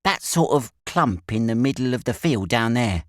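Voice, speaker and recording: A cocky English schoolboy scout, put on by a male reader, rude and scornful. The pitch jumps about in a brash, animated way. The sound is close and dry, suited to a storybook. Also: moderate pace, dry recording, lightly acted. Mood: curious, brash.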